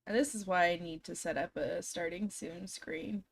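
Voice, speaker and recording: A woman's voice: fairly low pitch, steady tone, moderate pace, vocal fry, breathy edge. A young woman streaming from home, chatting casually to her viewers with a slightly scratchy, froggy voice from being sick, giggling often. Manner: chatty, giggly, talks to chat. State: hoarse, recovering from illness. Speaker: game streamer. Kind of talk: casual live stream chat. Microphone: desk microphone.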